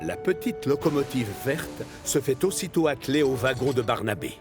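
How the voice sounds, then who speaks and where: A man narrating a scripted children's story as an expressive storyteller. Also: medium pitch, moderate pace. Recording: music under the voice.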